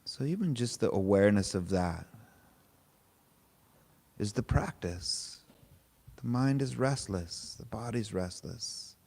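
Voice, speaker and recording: A man leading a guided meditation, speaking in short, unhurried, gently informal phrases with pauses between them. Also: on the low side, lively intonation, vocal fry, breathy edge. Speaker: meditation teacher. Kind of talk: guided meditation, spoken softly to a group.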